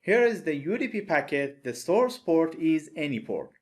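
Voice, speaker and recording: A man's voice: on the high side, sing-song, normal speed, a little mellow. A man with a non-native English accent, giving a recorded networking lecture over slides in a methodical, explanatory way. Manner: methodical, plain explanatory delivery. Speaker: networking instructor.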